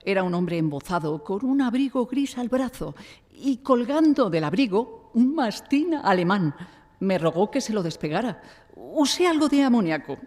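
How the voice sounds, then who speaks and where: An actress playing a chatty veterinarian giving testimony in a live audio drama, in a conversational way. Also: female voice, medium pitch, sing-song, normal speed, a little mellow. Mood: recounting. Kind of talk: audio drama acting before a live audience. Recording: echoey live hall.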